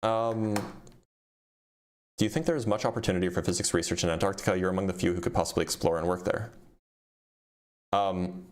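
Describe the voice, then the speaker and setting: A man with a fairly low voice hosts a casual livestream Q&A on a streaming mic. He reads each question out, then answers in a plain, matter-of-fact way full of ums. His voice is starting to wear out. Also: brisk, long pauses. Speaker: physicist answering viewer questions.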